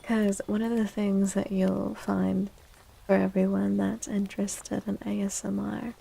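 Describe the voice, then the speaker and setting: Female ASMR streamer, soft and breathy near-whisper, fairly even melody with some creak, casual and gentle, close-miked. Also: medium pitch, unhurried, poor audio. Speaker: live streamer hosting chat. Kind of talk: soft-spoken ASMR, casual live chat.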